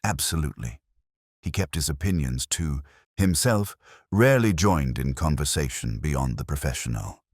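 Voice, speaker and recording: Man reading as deep-voiced, guarded records official. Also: expressive, moderate pace, darkish tone, slightly rough, no echo, studio quality, exaggerated voice. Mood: uneasy, careful. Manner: formal, careful, hesitant. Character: guarded, courteous male senior records official. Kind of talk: audiobook character reading.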